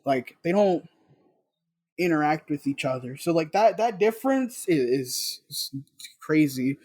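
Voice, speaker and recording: A young man with a fairly high voice, recorded close and dry. He talks casually and informally as an interview guest, sharing stories in an animated, slangy way. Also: a little slow, no echo. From South Bronx, New York. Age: young adult. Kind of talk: casual conversational storytelling.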